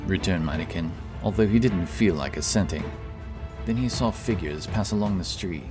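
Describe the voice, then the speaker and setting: A man narrating a fantasy story in a steady, scripted style. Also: low voice, normal speed. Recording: narration over loud music.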